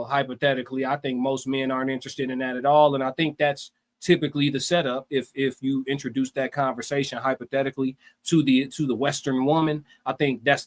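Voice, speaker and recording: A man co-hosting a casual live stream, talking in animated slang and repeating phrases for emphasis. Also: medium pitch. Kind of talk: casual opinionated chat.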